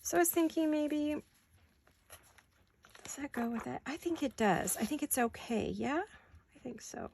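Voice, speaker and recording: A woman with a sore throat chatting casually to viewers while she crafts at home, thinking out loud as she works. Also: high-pitched, animated, a little slow, creaky, pauses often. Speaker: craft vlogger. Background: scissors and crafting clicks.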